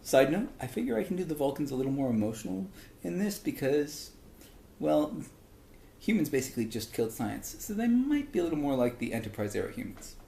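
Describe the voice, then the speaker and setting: Guy with a highish voice reading a funny thread out loud, kinda animated, echoey room. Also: brisk, gravelly, a little hiss or hum. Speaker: reader of online posts. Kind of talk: reading aloud a humorous thread. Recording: echoey, roomy sound.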